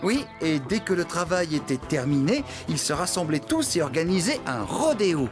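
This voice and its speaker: An actor playing an excitable, good-natured, dreamy farmhand man in a children's cartoon, earnest and theatrical. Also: on the high side, normal speed, over music. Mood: enthusiastic, dreamy.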